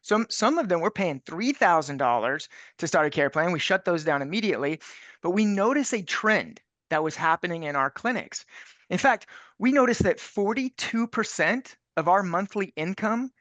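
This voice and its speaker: A man's voice: high voice, lively intonation, moderate pace, a bit bright, no echo. A man who owns chiropractic clinics, giving persuasive business advice and stacking repeated phrases for emphasis.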